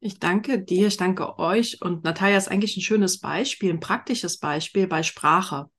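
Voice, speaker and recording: A woman leading a group discussion in a slow, deliberate way, her phrases often trailing off softly. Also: on the low side, slightly rough. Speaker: workshop facilitator.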